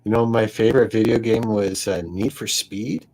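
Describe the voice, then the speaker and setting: A man's voice: lowish voice, fairly even intonation, moderate pace, darkish tone, slight background noise. A man in his fifties in a casual late-night chat, making brief, laid-back remarks. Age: 50s.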